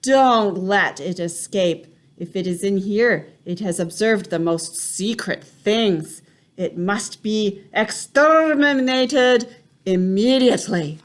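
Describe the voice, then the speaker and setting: A woman voicing an imperious, furious female witch leader who shouts slow, booming commands. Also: natural voice. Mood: commanding.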